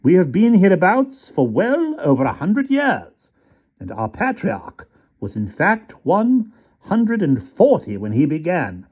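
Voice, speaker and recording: A man's voice: huge pitch swings, a little slow, a little mellow, heavily acted. A man voicing a small, brave wooden toy soldier who speaks loftily and formally. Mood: lofty. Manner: lofty, formal, high-pitched.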